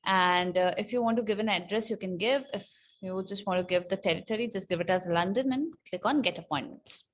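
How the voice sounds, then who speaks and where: A woman presenting an unscripted software tutorial, explaining step by step in a somewhat halting, hesitant way. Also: medium pitch. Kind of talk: unscripted screen-share walkthrough.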